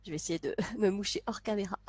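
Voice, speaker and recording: A woman's voice: medium pitch, normal speed, heavy background noise. A young woman chatting to camera with a congested, stuffy voice, laughing often and restarting her sentences. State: congested from a cold. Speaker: vlogger. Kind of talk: casual chat to camera.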